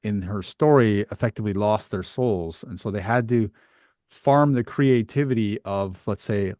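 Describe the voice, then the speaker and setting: A man with a lowish voice hosts a conversational interview. He phrases things tentatively and thoughtfully, feeling his way through each point. The sound is dry, with very little room to it. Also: normal speed, warm tone, dry recording. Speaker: podcast host.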